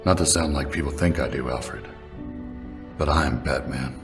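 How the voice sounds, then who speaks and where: A man performs a brooding masked vigilante for a motion comic. The voice is extremely deep, grave and deadpan, the delivery of a grim crimefighter. Loud music runs underneath. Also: moderate pace, recorded from a distance. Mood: grim, somber.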